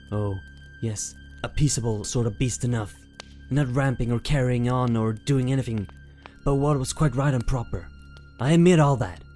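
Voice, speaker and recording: An actor playing a frightened, agitated country shepherd, rambling in folksy rustic speech in an amateur audiobook drama. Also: male voice, mid-range voice, a little mellow, natural voice.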